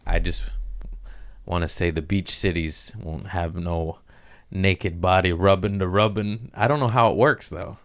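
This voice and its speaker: A man's voice: sits low, steady tone, unhurried. A man hosting a podcast, chatting in a casual, comedic way with plenty of slang and swearing. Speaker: podcast host.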